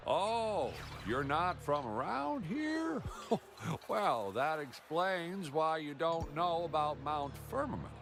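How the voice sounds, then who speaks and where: A chatty townsman from a video game, telling local lore to a traveler. His delivery is unhurried and animated, with a mid-range voice. Music plays clearly behind him. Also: a little high, exaggerated voice. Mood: surprised, amused. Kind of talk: video game character dialogue. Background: game background music.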